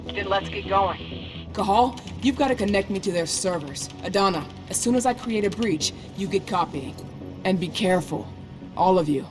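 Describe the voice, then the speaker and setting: A focused female mission coordinator voiced by an actress speaks in a mid-range voice. She is direct and businesslike, a rebel hacker in a dramatic video game, with music clearly behind her. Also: medium pitch, lively intonation, moderate pace, close to an everyday voice. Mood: urgent, focused.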